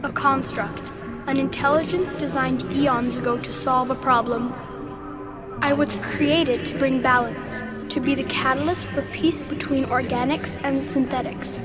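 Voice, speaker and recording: A woman playing an ancient, calm and superior machine intelligence in a video game, speaking in a measured, formal way. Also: high voice. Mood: detached, explanatory. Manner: measured, formal, detached, didactic. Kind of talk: video game cutscene acting. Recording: loud music behind the dialogue. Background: loud game score.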